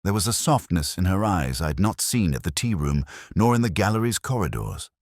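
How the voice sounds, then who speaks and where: A man narrating a scripted detective story in the first person, with a measured, reflective, literary delivery. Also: deep voice, big pitch swings, no echo, studio quality.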